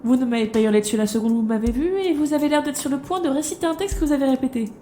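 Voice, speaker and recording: A woman's voice: high-pitched, rapid-fire, warm tone, a little hiss or hum, lightly acted. An actress playing a brash, irreverent young woman engineer in an audio drama, blunt, slangy and sardonic. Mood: defiant.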